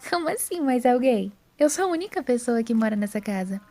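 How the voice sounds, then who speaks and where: Prim young woman, acted by a female narrator, self-assured, polite, matter-of-fact, soft mellow tone, audio fiction. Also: fairly high pitch, lively intonation, fairly quick, faint music behind, voice pushed up. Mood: surprised, matter-of-fact. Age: young adult. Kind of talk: audio drama character voice.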